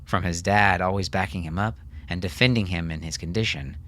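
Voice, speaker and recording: A man's voice: sits low, fairly quick, a bit bright. A man narrating a scripted story as an audiobook narrator.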